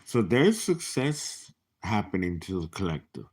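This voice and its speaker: A man in his late fifties reads tarot for his viewers in a relaxed, talkative way. His voice sits low but leaps up and down expressively, and he takes his time. He slips into whispers and laughs between thoughts. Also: measured pace, slightly rough. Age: 50s. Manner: animated, chatty, laughing asides, sudden whispers. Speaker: tarot reader. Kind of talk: casual live tarot reading.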